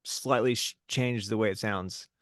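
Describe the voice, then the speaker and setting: A man's voice: lowish voice, fairly even intonation, measured pace, fairly bright tone, dry recording, clean studio sound. A young man co-hosting a podcast in easygoing casual chat, asking questions and steering the talk. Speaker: podcast co-host.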